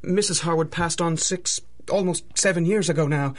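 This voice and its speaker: An actor playing a formal, deferential manor butler with polite, measured delivery in a 1930s-style radio drama. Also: male voice, a little high, natural voice.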